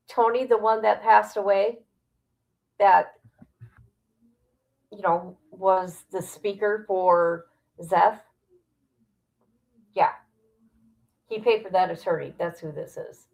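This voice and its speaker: Woman doing a livestream reaction, talks slow, lots of vocal fry, blunt and kinda disbelieving. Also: medium pitch, a little mellow, poor audio. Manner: blunt, incredulous, gossipy. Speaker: livestream host reacting to a document. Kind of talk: casual livestream commentary, reading aloud and reacting.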